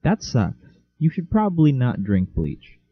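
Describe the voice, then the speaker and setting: A young man co-hosting a casual podcast, joking sarcastically and deadpan. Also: medium pitch, measured pace, breathy edge, dry recording. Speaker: podcast co-host. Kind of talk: casual chat. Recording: dull lo-fi home mic.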